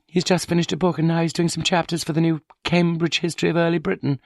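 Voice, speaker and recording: Bitter wheelchair-bound wife, guy narrator doing her voice, high and cutting, dry close mic. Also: level tone, fast talker, gravelly, no room sound. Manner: bitter, accusing, sharp-tongued. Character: bitter, vindictive middle-aged wife in a wheelchair. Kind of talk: audiobook character voice.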